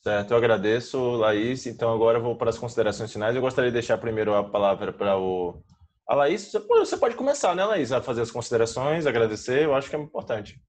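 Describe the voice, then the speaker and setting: Brazilian man moderating, medium-pitched voice. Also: very animated, quick pace. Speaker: teacher moderating a discussion. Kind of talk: informal moderating. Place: video call.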